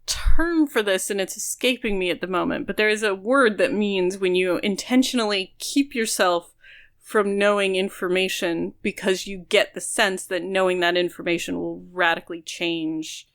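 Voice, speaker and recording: A woman's voice: mid-range voice, normal speed, fairly bright tone, clean tone. A woman co-hosting a podcast, explaining things earnestly in casual conversation. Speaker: podcast co-host. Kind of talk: casual discussion.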